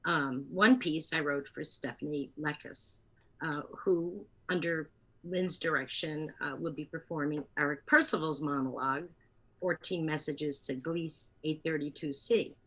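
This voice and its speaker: A woman being interviewed as a theater producer, talking conversationally and partly from notes, with halting pauses and self-corrections. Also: sits low, fairly bright tone, low-quality recording.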